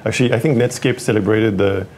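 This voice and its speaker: A French man from Paris in his forties, a tech executive answering interview questions with many pauses and restarts. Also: fairly low pitch, fairly even intonation, a crowd in the background. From France (Paris). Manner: hesitant, many fillers and restarts. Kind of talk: interview conversation.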